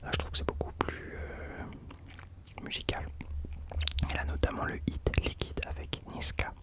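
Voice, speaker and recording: A man speaking in an unvoiced whisper, soft and informal, chatting as the host of an ASMR video into a close microphone. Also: normal speed. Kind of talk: whispered ASMR talk about rap.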